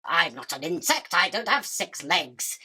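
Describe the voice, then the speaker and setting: Spider queen for radio play, female actor: crisp-edged bright tone, theatrical, self-important and scornful, always hungry. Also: on the low side, big pitch swings, measured pace, heavily acted. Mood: irritated, superior. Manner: imperious, dismissive, self-justifying. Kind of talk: theatrical radio drama acting.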